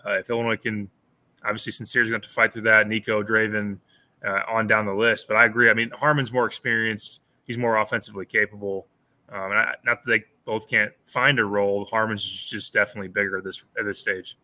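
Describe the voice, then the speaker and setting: A man in his thirties talks through a game as a podcast co-host on a video call from a small room at home. His voice sits a little low but sounds very bright and forward. He is thoughtful and circles back often, repeating words as he works out each point. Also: flat delivery, quick pace. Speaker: podcast co-host, sports analyst. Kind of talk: casual postgame analysis. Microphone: over-ear headphones with a webcam on a video call.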